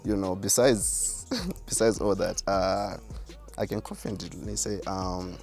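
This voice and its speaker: Gravelly deep-voiced man. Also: steady tone, measured pace, darkish tone, faint music behind. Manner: self-assured, boastful about his skill. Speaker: rapper and artist, guest. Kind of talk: interview answers.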